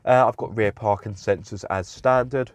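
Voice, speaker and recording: Young man, stuffy nose, slightly nasal, low voice, chatty with fillers, dry close sound inside a car. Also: fairly even intonation, normal speed, no echo, highs cut off. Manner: chatty, self-correcting with fillers. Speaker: car reviewer. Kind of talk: casual car walkaround review.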